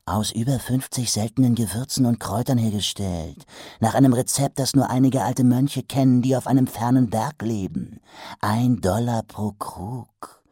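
A man voicing a pushy, fast-talking male street hawker with a wheedling sales patter that sinks into hushed whispers. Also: medium pitch, husky, no room sound, clean studio sound, natural voice. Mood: conspiratorial sales pitch.

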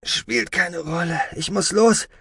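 A man's voice: high-pitched, slow pace, fairly bright tone, husky, no echo, clean studio sound, pitched above the performer's own voice, exaggerated voice. A man voicing a brash, impatient man who is sardonic, stern and in control. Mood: brusque. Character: brash, commanding young man, impatient and smugly reassuring.

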